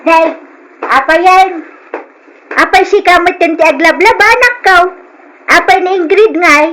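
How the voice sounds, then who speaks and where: A nagging older mother-in-law in an old-style radio drama. Her voice is high and piercingly bright, full of complaint and protective fussing over her son. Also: a little slow, heavily acted, rough recording. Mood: indignant.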